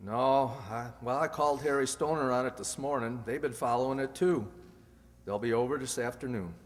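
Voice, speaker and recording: An actor playing a plain-spoken police detective sidekick in a radio crime drama. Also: male voice, mid-range voice, moderate pace, close to an everyday voice. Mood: earnest. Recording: reverberant hall.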